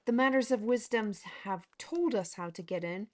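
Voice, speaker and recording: An actress playing a quick-witted heroine with a wry, dryly sarcastic way of talking. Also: female voice, medium pitch, darkish tone, close to an everyday voice.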